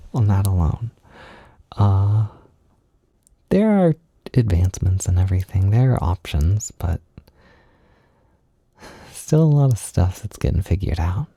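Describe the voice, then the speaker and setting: Low, very mellow male voice, gently reassuring. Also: vocal fry, no room sound, clean studio sound. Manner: hesitant, halting, self-deprecating. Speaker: audio creator giving comfort and validation. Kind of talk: intimate, informal comfort monologue.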